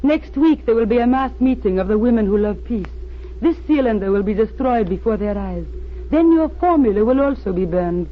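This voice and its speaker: An actress playing a crafty, beautiful spy posing as an earnest peace campaigner, urgent and persuasive in old-time radio style. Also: female voice, on the high side, animated, fairly quick, close to an everyday voice. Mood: smooth, triumphant. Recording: tinny, noisy old radio recording.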